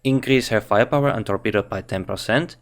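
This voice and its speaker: A man with a Finnish accent reviewing a game character, thinking aloud in halting English with frequent restarts. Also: fairly low pitch, faint music behind. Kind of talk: casual game commentary.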